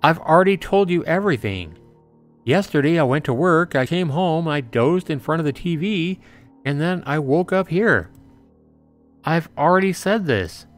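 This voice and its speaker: A man voicing a bewildered, defensive young man in a visual novel, halting and earnest as he pleads. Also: fairly high pitch, expressive, faint music behind, voice pushed up, lightly acted. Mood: upset, pleading.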